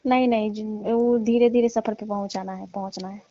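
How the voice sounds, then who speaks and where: A woman's voice: medium pitch, steady tone, a little fast, warm tone, no room sound, natural voice. A woman playing a teasing, mock-annoyed middle-aged auntie chatting casually and coyly on a phone call. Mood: mock scolding. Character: scolding, coy neighbourhood auntie. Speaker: caller in a staged comic phone call. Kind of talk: casual flirtatious phone chat. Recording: dull lo-fi phone-call audio.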